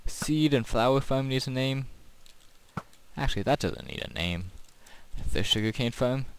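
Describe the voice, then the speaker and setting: Man with swooping pitch, rambling casually. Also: expressive, measured pace, creaky, smooth. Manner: rambling, thinking aloud. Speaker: gaming video host. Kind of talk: casual gameplay commentary.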